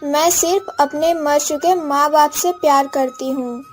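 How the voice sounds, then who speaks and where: A woman's voice: sits high, fairly even intonation, a little slow, slight background noise. A woman voicing a wronged but proud orphan village girl in a Hindi moral-story cartoon, speaking earnestly and dramatically. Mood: bitter, resolute.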